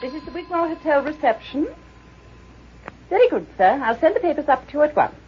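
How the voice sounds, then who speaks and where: An actress playing an efficient, obliging hotel receptionist in an old-time radio mystery. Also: female voice, sits high, lively intonation, fairly quick. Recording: dull, noisy vintage radio recording.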